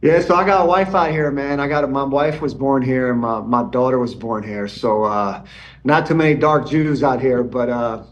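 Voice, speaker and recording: A man's voice: medium pitch, normal speed. A man in his forties, a podcast guest calling in from his home, talking in a laid-back, rambling way full of "man" and "you know.". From Israeli and Iranian background. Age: 40s. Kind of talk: casual conversation. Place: home in Germany, remote call.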